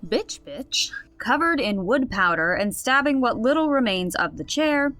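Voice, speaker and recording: A woman playing an expressive game master, narrating a fantasy story in a scripted radio drama. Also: a little high, measured pace, no room sound, soft background music. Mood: storytelling.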